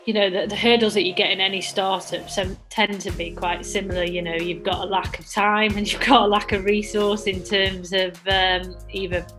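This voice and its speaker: A woman's voice: medium pitch, fairly even intonation, normal speed, few pauses. A woman co-founder answering interview questions in a conversational way, with many restarts and "sort of" fillers. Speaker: social enterprise co-founder. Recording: music playing under the voice.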